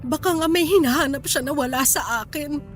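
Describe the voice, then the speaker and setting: Casting: actress for a betrayed wife in radio drama, high voice, emotional and feisty, music underneath. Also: female voice, a little fast, close to an everyday voice. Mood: wry, wounded. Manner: emotional, plaintive, exasperated. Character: tearful, feisty betrayed wife and working mother. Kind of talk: Filipino radio drama acting. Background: background music.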